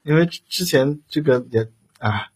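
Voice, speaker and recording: A man co-hosting a livestream in casual chat, talking in a halting, rambling way with repeated words. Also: fairly high pitch, darkish tone, breathy edge. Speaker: livestream co-host.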